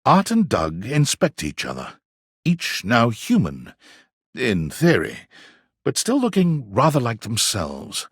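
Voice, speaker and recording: A male narrator reads scripted video game scenes with dramatic flair. His mid-pitched voice is husky and moves up and down in a lively way. The sound is dry and close. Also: slow, no echo.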